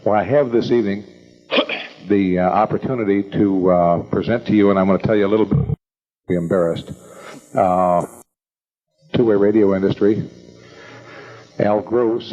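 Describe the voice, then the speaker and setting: An older American man hosting an evening meeting, introducing speakers informally in a halting, rambling, folksy way. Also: lowish voice. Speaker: event host introducing speakers. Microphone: event microphone. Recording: dull lo-fi event recording.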